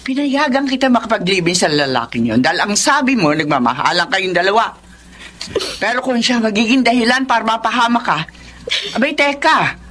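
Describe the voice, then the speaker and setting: A woman's voice: a little high, big pitch swings, brisk, fairly bright tone. An actress with a Filipino accent playing a protective middle-aged mother who scolds and probes indignantly in a radio drama. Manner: indignant, probing, emphatic.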